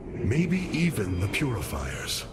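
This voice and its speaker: A man's voice: fairly low pitch, huge pitch swings, takes it slowly, over loud music, exaggerated voice. A man playing a noble, resolute alien hierarch in a game cutscene, speaking formally and with solemn command. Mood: grave, questioning. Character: noble, resolute male alien hierarch leading his people. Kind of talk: dramatic game cutscene acting. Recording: loud game soundtrack under the voice.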